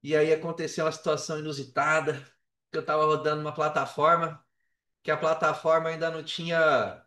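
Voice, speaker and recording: A Brazilian man with a high voice tells a story in loose, slangy talk, slipping into quoted dialogue as he goes. He sits back from the mic in an echoey room, so the sound is roomy and a little distant. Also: little pitch movement, a little fast, bright, forceful tone. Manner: colloquial, slangy, re-enacts dialogue. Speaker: podcast guest, affiliate marketer. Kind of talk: animated casual storytelling.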